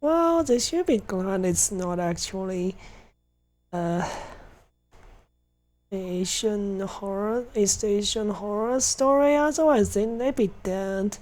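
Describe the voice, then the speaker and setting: Female streamer, mid-pitched and unhurried, giving casual, conversational reactions during gameplay. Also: darkish tone. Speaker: video game streamer. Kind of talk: casual live game commentary.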